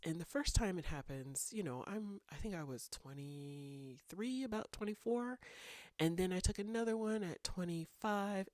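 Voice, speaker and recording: A woman's voice: deep voice, a little mellow, no room sound. Congested woman vlogging about writing. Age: 30s. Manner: thoughtful, meandering with fillers. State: congested from a cold. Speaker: writing channel host. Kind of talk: casual talking-head vlog.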